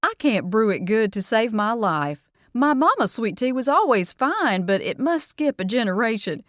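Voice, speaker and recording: A woman voicing a folksy, motherly diner owner, higher and louder than her narration. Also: sing-song, normal speed, no room sound, clean studio sound. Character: warm-hearted Southern diner owner, older woman.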